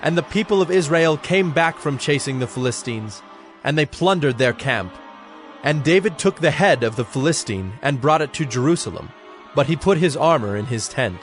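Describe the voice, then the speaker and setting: A man's voice: on the high side, animated, moderate pace. Solemn male scripture narrator over music. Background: background music.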